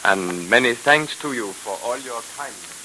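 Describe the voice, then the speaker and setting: A man acting a courteous, grateful foreign gentleman with formal, measured phrasing in a 1930s radio serial. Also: mid-range voice, expressive, low-quality recording. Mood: gracious. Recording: hissy 1930s radio recording.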